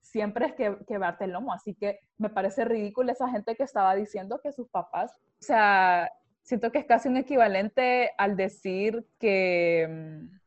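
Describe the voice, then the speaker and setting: A young Honduran woman talks in an emphatic, opinionated way, peppering her speech with fillers. Her voice sits at a middle pitch, and the recording is a little dull and lo-fi. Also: moderate pace. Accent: Honduran Spanish. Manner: emphatic, opinionated, animated, voseo with lots of "o sea". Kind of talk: casual conversation, podcast-like.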